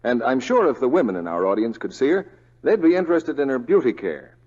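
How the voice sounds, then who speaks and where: A man's voice: mid-range voice, very animated, fairly quick, darkish tone. A man announcing in a polished, emphatic, scripted 1940s radio advertising style. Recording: muffled, reverberant vintage radio recording.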